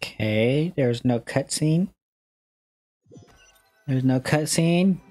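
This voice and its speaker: A man's voice: medium pitch, animated, drawn-out words, mellow, soft background music. A man giving casual, amused reaction commentary over a game in short exclamations. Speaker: gameplay video host.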